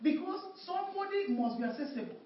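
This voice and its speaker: A woman's voice: very high-pitched, lively intonation, fast talker, noisy background. Woman, preacher, emphatic repeated refrains, very echoey church hall, distant dull recording. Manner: repetitive call-and-response preaching, emphatic refrains. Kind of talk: sermon.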